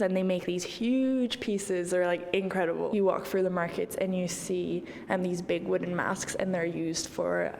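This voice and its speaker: A woman's voice: lowish voice, gravelly, darkish tone, long reverb tail, slight background noise. A young woman artist speaking casually and reflectively in an interview, often saying "like" and "kind of". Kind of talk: reflective interview talk about own art.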